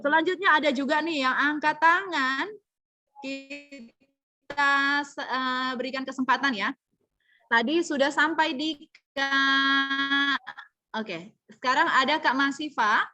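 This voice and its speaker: A woman's voice: sits high, slow pace, bright, forceful tone, faint music behind. A woman moderating a webinar Q&A over a video call, upbeat, calling on askers by name.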